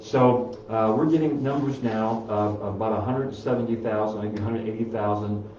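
A man's voice: lowish voice, steady tone, normal speed, a little mellow. A middle-aged man hosting a health talk in a small office, speaking casually from printouts with many fillers and restarts. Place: small office room, indoors. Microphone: far camera. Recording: roomy, distant, dull sound.

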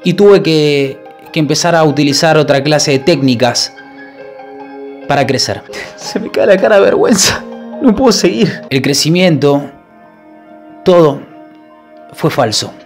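A young man with a Rioplatense accent, a YouTuber talking in a halting, mock-solemn confession, holding a mic close in a small kitchen. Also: a little high, animated, soft background music. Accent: Rioplatense Spanish. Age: young adult. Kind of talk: mock-serious confession vlog. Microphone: handheld mic held close.

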